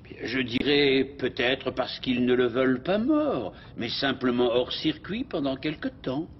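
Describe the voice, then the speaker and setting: A man's voice: medium pitch, lively intonation, measured pace, dull audio, close to an everyday voice. A man playing a learned cartoon professor who explains things precisely and methodically. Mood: analytical. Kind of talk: French cartoon dub acting.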